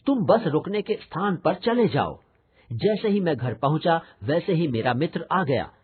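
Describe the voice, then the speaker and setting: An inquisitive schoolboy acted by a man delivers scripted lesson material in an instructional way. The voice is very raspy and rather high, with lively intonation. It sounds muffled and phone-like. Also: heavily acted. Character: Rahul, schoolboy pupil, inquisitive younger brother. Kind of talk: scripted educational narration and dialogue.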